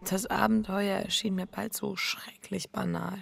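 A woman's voice: mid-range voice, fairly even intonation, a little slow, vocal fry. An actress playing a restless, introspective wife confessing her affair in an intimate radio drama. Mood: introspective, subdued. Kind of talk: intimate first-person radio drama acting.

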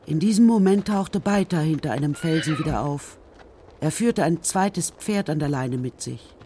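Slightly high-voiced male narrator. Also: animated, normal speed, mellow, a little hiss or hum. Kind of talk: scripted narration of a children's radio play.

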